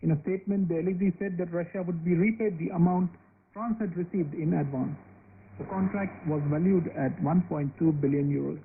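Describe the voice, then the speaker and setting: High male voice, scripted newspaper review, thin shortwave radio sound. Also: fairly quick. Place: radio studio. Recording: phone-like shortwave radio, faint noise.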